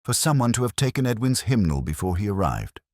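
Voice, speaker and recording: A man voicing a courteous, quietly incisive police inspector who questions gently in a mystery story. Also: fairly low pitch, big pitch swings, fairly quick, no room sound, studio quality, pitched above the performer's own voice. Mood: calm probing.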